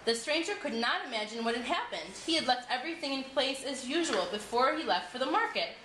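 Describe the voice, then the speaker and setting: A woman's voice: high-pitched, lively intonation, a little fast, fairly bright tone. A woman narrating a folktale from a script, reading it aloud as a storyteller. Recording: echoey room with clear background noise.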